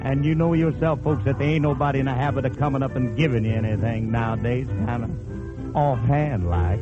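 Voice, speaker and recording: A man's voice: mid-range voice, a little fast, muffled recording. A man delivering a drawling, folksy comic lament, half spoken, to an audience on an old radio recording. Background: music accompaniment.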